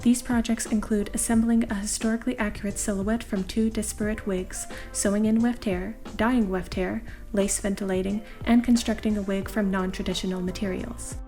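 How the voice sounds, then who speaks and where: A woman delivers scripted narration for a showcase. Her voice is mid-range, and her delivery is measured and formal. Music plays clearly behind her. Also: mid-range voice, fairly even intonation, mellow. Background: background music.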